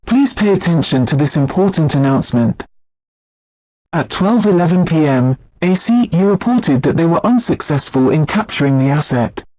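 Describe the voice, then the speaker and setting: A man plays a theme park emergency announcer with a slightly high male voice. He reads scripted alerts in a formal, measured, impersonal way, recorded very dry with no room sound. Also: mellow, no room sound, low-quality recording.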